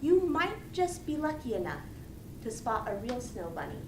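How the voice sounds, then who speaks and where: A woman with a high voice tells a story as a rehearsed contest speech. Her delivery is theatrical, with deliberate pauses, and it is picked up from a distance in a very echoey room. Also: very animated. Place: indoor meeting room. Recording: echoey room, distant mic, faint noise.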